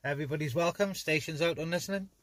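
A man's voice: fairly high pitch, quick pace, very noisy. A man chatting casually as he runs a CB radio net from inside a car. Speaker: CB radio operator hosting a net.

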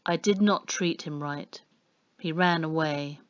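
A woman's voice: low voice, unhurried, clean tone, no room sound, muffled recording. A woman voicing a humble, remorseful man in a storybook, meek and deferential. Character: humble, remorseful, scarecrow-thin man seeking lost boy.

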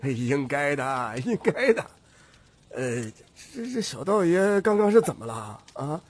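A man's voice: a little high, big pitch swings, measured pace, breathy edge, voice pushed up, heavily acted. A man voicing an obsequious, flustered old troupe leader who stammers and wheedles in an audiobook. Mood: flustered, fawning. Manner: stammering, wheedling, full of sighing interjections.

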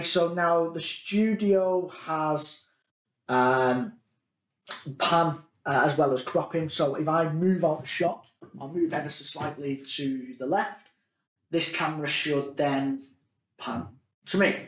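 A man's voice: on the high side, fairly bright tone. A man, casually demonstrating gear in a meeting room and filling his talk with "um" and "uh". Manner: chatty, filler-heavy, thinking aloud. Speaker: product demonstrator. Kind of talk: casual product demo. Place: meeting room with large table. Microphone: conference room device mics, distance varies. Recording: echoey meeting room, distant mic.

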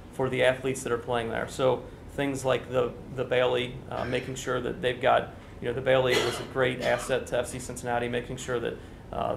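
A man's voice: medium pitch, creaky, a little hiss or hum. A man in his forties, a construction official, giving businesslike answers at a press briefing in an indoor conference room, speaking into a standing mic. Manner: explanatory, businesslike, with many fillers. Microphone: standing microphone, TV camera. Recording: echoey room.

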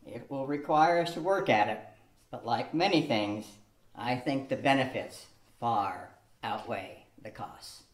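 A man's voice: mid-range voice, unhurried, slight background noise, natural voice. An older man playing Elmer, a patient, reasoned husband, reading carefully from a script in an amateur radio play. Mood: calm. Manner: reads carefully from a script, lecturing tone. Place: studio room with a cloth backdrop. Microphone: stand mic on a tripod. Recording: echoey room.

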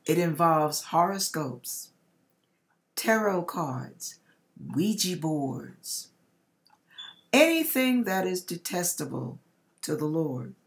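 A middle-aged woman reading a personal testimony aloud in a deliberate, dramatic storytelling manner, with pauses. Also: lowish voice, sing-song. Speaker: host reading a viewer's testimony. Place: home kitchen, indoors.

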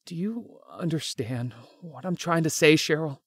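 An actor voicing a devoted, earnest young man in an anime-style romance game, speaking haltingly. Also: on the high side, husky, no echo, studio quality. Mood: earnest, confessing. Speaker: voice actor in a visual novel.

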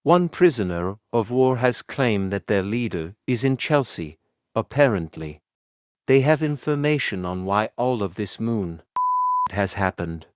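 A man's voice: fairly low pitch, animated, unhurried, clean tone, no room sound. A man playing a sombre survivor speaking plainly and seriously to a comrade in an amateur audio drama. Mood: resigned farewell. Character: sombre male survivor radioing his comrade.

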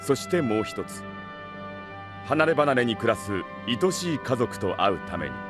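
A man narrating a Japanese fantasy audio drama in a formal, measured storytelling manner. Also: medium pitch, close to an everyday voice. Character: composed male storyteller of a fantasy saga. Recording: music behind the voice.

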